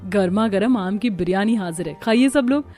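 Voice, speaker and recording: A woman's voice: mid-range voice, moderate pace, mellow, faint music behind, natural voice. A woman voicing an earnest, dutiful young daughter-in-law of a poor family in a cartoon story. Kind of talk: Hindi cartoon story voice acting.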